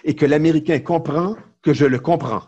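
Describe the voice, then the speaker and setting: A middle-aged man with a Québécois French accent, a lawyer and entrepreneur chatting as a podcast guest, telling lively stories with many fillers and restarts. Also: on the high side, fairly quick, breathy edge, highs cut off. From Quebec, Canada. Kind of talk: casual interview chat.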